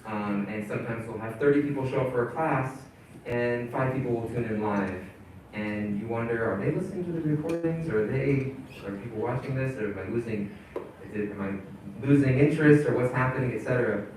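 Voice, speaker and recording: A man leading a community town hall, talking in a halting, casual way with many fillers and restarts. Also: mid-range voice, background noise. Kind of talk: casual spoken presentation. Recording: echoey hall, voice from far off.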